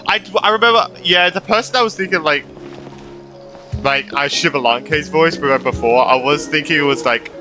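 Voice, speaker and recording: Bright, excitable young man streaming games. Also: a little high, normal speed, faint music behind. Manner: excitable, rambling, giggly. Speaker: gaming streamer. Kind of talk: casual live game commentary and reactions.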